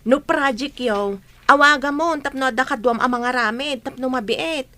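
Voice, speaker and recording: Woman as a bright, protective big sister. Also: high voice, normal speed. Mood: indignant, kind. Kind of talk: radio drama acting.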